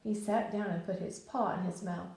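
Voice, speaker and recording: A woman teacher reading a children's story aloud with expressive, lively storytelling in a very echoey room. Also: mid-range voice, normal speed. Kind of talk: children's read-aloud narration.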